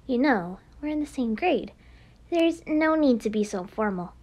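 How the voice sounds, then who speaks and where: A woman voicing a calm, composed teenage former idol in an anime game, measured and matter-of-fact. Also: on the high side, voice pushed down. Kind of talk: amateur anime game voice acting.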